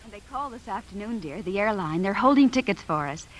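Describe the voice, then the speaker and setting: An actress playing a devoted young wife and mother, gentle and affectionate, in 1950s radio drama style. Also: medium pitch, expressive, fast, close to an everyday voice, poor audio. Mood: pleased. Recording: hissy vintage radio broadcast.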